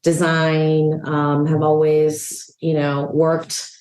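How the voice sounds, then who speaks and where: A woman from El Salvador, a real estate agent, talking in a relaxed, chatty interview with lots of "um" and "you know". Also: deep voice, extremely slow, reverberant. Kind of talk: casual interview chat. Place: a rental apartment.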